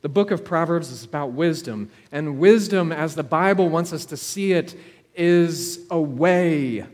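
High-voiced male preacher in a hall. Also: lively intonation, darkish tone. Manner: measured, dramatic pauses and repeated phrases. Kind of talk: sermon with storytelling. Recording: long hall reverb.

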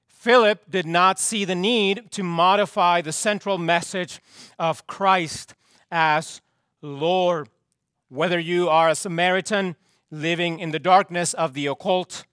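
A male pastor preaching slowly and deliberately, his voice fraying and hoarse from use. He sits a touch higher than most men and repeats his points for weight, letting each line fall away. The sound is dry and close. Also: a bit bright, dry recording. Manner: slow, emphatic, repeats key phrases, drops pitch at line ends. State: losing his voice, clearing throat. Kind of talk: sermon.

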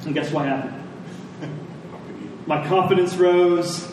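An American man, middle-aged, preaching a sermon in a conversational way, with short deliberate phrases and rhetorical questions. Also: high-pitched, fairly even intonation, a little mellow. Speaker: pastor preaching. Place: large church meeting room with congregation. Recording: echoey hall, distant mic, faint noise.

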